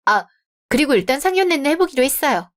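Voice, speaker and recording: A woman voicing a young bride-to-be in a radio drama, polite and measured but firm. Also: high-pitched, sing-song, a bit bright.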